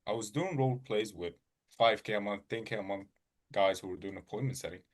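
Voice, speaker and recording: A man's voice: lowish voice, brisk. A man answering questions in an online class over a video call, talking it through in loose casual phrasing. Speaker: student on a call. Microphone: video call mic.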